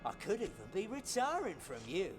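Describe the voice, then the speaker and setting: A man's voice: sits high, wildly swooping pitch, a little fast. An actor playing a nervous male hitman in a video game cutscene, evasive and rambling. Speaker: hired gunman in a game cutscene. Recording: loud game music under the voice.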